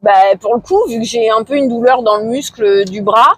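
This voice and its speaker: A woman in her forties talking casually to camera in a vlog, hesitant and low-key, with many fillers and pauses. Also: husky, dry recording. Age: 40s. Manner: low-key, somewhat discouraged. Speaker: vlogger.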